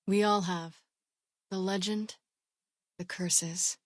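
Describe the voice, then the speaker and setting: An actress playing a solemn wasteland storyteller in a video game, husky and hushed. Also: female voice, medium pitch, fairly even intonation, takes it slowly, dry recording, clean studio sound. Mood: solemn, wistful. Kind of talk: dramatic video game voice acting.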